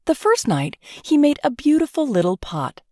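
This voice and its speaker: A woman narrating a children's storybook in an expressive, scripted read-aloud style. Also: high-pitched, normal speed, no room sound, studio quality.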